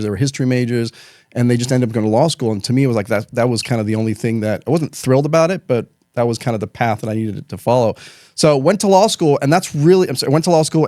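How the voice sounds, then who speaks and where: A man's voice: medium pitch, darkish tone, dry recording. A middle-aged man from Chile, an attorney guest telling his career story in casual, laid-back talk, with a studio microphone. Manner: fast, casual, says "dude" and "man".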